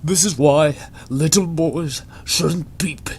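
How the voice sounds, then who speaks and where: A man's voice: a little high, slow pace, a little mellow, pitched above the performer's own voice. A middle-aged father, crude and domineering, acted out by a man reading a story. He talks in a blunt, bullying way. There is faint noise behind the voice. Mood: furious.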